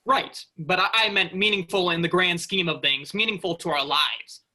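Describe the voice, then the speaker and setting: A man's voice: high-pitched, normal speed, pushed and bright, heavily acted. A man playing a restless, sarcastic space freighter worker, informal and exasperated, in a staged reading. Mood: wistful, challenging. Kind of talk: virtual staged reading of a sci-fi play.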